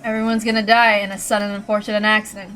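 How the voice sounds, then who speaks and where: A woman voicing a villainous uncle in a visual novel, casual and calm, with a mockingly kind manner. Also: mid-range voice, quick pace, soft background music, natural voice. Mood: cold, casual. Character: cold, joking, villainous uncle with a gun. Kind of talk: dramatic visual novel character reading.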